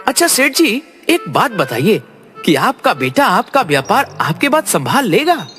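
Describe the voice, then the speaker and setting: Guy doing a cartoon young village trickster, high lively voice, pushy and cunning, faint music behind. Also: a little slow, heavily acted. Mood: sly, pushy. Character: cunning young male villager, brash, fast-talking trickster. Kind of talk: animated Hindi moral-story cartoon acting.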